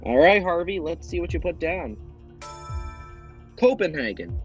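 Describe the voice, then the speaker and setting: A man hosting an amateur quiz show, upbeat and brisk as he announces questions. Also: fairly high pitch, very animated, muffled recording, soft background music.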